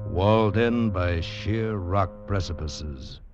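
An actor playing an ominous narrator in a dramatic 1940s radio thriller style. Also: male voice, deep voice, takes it slowly. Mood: menacing. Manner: menacing, dramatic. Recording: dull 1940s radio recording with music.

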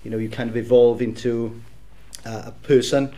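A man's voice: medium pitch, little pitch movement, moderate pace. Welsh man telling his story. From South Wales. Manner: warm, reflective storytelling with easy laughs. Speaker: podcast guest. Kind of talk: casual interview chat.

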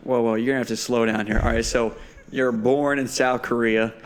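Teasing podcast host, mid-pitched man. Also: level tone, fairly quick. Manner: teasing, interrupting interviewer. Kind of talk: casual interview chat.

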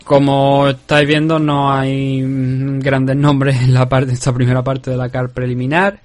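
A man hosting a podcast, giving measured, conversational commentary that previews sporting events in an explanatory way. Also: medium pitch, flat delivery. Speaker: podcast host.

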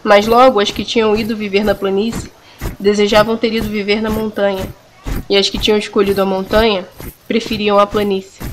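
A Brazilian woman performs scripted story narration for an audio drama, with a mid-pitched voice. She tells it solemnly and deliberately, leaving pauses between phrases, while music plays clearly behind her. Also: rough recording.

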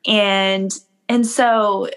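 A woman's voice: medium pitch, fairly even intonation, drawn-out words, a bit bright, clear, resonant tone. A woman from the United States in casual conversation, chatty and full of fillers, laughing often.

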